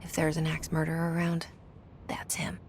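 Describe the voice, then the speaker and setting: An actress playing a guarded, haunted young woman in a video game, speaking introspective lines in a breathy, hushed, brooding way. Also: sits low, completely flat delivery, noisy background. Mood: confiding, wry. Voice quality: breathy, hushed, often near a whisper. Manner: slow, brooding, with deliberate pauses. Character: guarded, haunted young woman narrating her private thoughts. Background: music.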